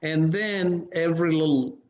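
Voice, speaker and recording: Slow, halting man from Ethiopia. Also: fairly high pitch, darkish tone. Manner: measured, halting, many restarts. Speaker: conference panelist. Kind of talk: casual panel discussion. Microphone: video call. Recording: dull lo-fi call audio.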